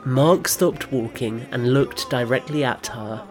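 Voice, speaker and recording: A man's voice: mid-range voice, unhurried, faint music behind. A man reading scripted third-person narration as the narrator of an audio drama.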